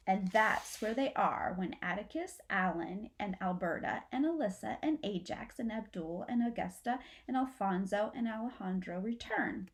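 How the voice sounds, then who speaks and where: A woman's voice: medium pitch, a little mellow. A middle-aged woman reads a children's storybook aloud like a teacher, expressively, as if to young listeners. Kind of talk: storybook read-aloud narration. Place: small furnished room indoors at home.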